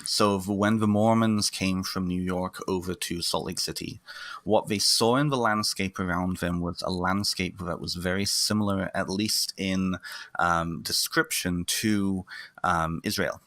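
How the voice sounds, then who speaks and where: Male interview guest: low, crisp-edged voice recorded dry, emphatic, talking through ideas conversationally. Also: steady tone, measured pace, a bit bright, no room sound. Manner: emphatic, often checks with "right?". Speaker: interview guest, therapist and lecturer. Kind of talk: conversational explaining.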